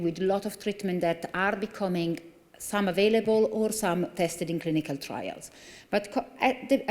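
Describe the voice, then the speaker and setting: A woman's voice: lowish voice, a little mellow. A woman in her forties with a strong Italian accent, a neurologist giving an earnest conference talk at a lectern with many fillers and restarts. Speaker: consultant neurologist. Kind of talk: conference lecture. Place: large conference hall. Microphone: lectern microphone. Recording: long reverb of a large hall.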